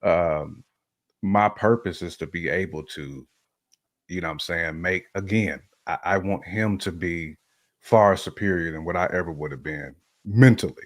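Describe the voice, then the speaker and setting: Low-voiced man talking opinions, emphatically. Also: normal speed. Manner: emphatic, repeats phrases for emphasis. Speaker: podcast guest panelist giving opinions. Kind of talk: casual conversational opinion talk.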